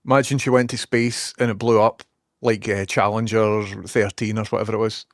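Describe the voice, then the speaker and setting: A man's voice: on the low side, level tone, a little fast, no room sound, studio quality. A man with a Scottish accent chatting informally as a livestream host, rambling as he replies to viewers. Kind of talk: casual livestream chat, replying to viewers. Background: music playing.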